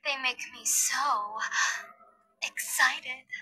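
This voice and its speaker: A coy, scheming teenage schoolgirl, as a woman voices her for a game cutscene. The voice sits high and very bright, teasing and coaxing. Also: drawn-out words, slightly rough, heavily acted, rough recording. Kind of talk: redubbed video game cutscene.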